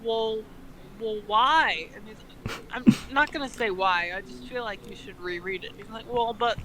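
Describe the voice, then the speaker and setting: A woman with a slightly high voice reads aloud in a comic, mock-earnest way. She hesitates and breaks off into slangy commentary, and the volume of the recording is uneven. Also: normal speed, sharp tone, a little hiss or hum, rough recording. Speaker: co-host reading fan fiction aloud. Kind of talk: comedic dramatic reading with joking commentary.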